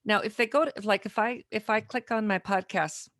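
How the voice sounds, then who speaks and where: A woman hosting a podcast in casual interview chat, speaking informally and a little haltingly. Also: mid-range voice, a bit bright, dry recording, clean studio sound. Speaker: podcast host.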